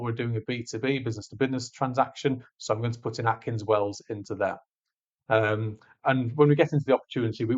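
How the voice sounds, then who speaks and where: A man presenting a software tutorial, explaining step by step in an informal, conversational way. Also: medium pitch, dull audio. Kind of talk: screencast walkthrough, conversational instruction. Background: voice-over on a screen recording.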